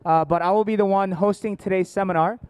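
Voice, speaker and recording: An American man presents at a seminar in a crisp, resonant voice with very little room echo. He speaks informally as an educator and host, keeping things jokey and engaging with his audience. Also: high voice, steady tone, fast talker, darkish tone. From born in South Korea, grew up in Atlanta, United States. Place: indoor seminar room with families and online viewers.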